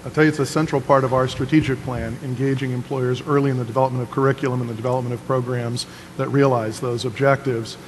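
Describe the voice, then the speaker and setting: A man on a panel giving a brisk, businesslike answer about working with employers. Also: mid-range voice, fairly even intonation. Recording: echoey hall with faint noise.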